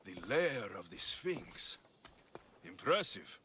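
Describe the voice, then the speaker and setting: A man's voice: on the low side, big pitch swings, slow, pushed and bright, slight background noise, full character voice. A man playing a determined young mercenary hero of ancient Greece in a video game, blunt and resolute. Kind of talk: video game cutscene acting. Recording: music behind the voice.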